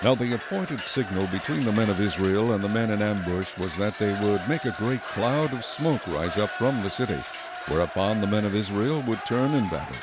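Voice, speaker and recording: A man giving solemn, measured scripted narration for a dramatized Bible recording. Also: fairly low pitch, expressive, noisy background.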